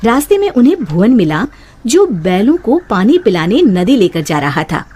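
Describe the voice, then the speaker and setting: A woman's voice: medium pitch, big pitch swings, normal speed, warm tone, slightly rough. A woman narrating a scripted cartoon story.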